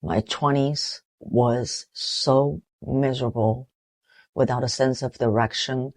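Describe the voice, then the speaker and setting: A woman in her forties from Taiwan with a Taiwanese accent, giving an earnest, reflective motivational talk with halting restarts. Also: a bass voice, a little mellow. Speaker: motivational vlogger.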